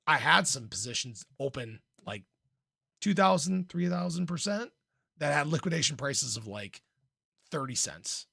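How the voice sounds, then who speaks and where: Creaky, slightly hoarse man livestreaming casually. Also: a little high, animated, measured pace, pushed and bright, no room sound, studio quality. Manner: informal, playful, frequent throat clearing. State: slightly hoarse, losing his voice. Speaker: livestream host, crypto commentator. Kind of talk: casual livestream chat, reading and answering viewer comments.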